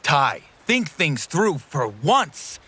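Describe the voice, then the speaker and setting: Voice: medium pitch, big pitch swings, extremely slow, sharp tone, slightly rough, full character voice. A voice actor playing a sullen, protective teenage older brother in a cartoon parody, dry and reluctant. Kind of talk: fan-dub parody cartoon acting.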